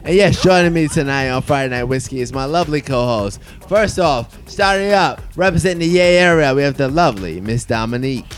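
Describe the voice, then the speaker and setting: A man's voice: medium pitch, brisk, hardly any pauses, soft background music. A man in his thirties hosting a casual chat podcast with friends, playful and joking. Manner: playful, animated, restarts his intro. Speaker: podcast host. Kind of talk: casual chat over drinks.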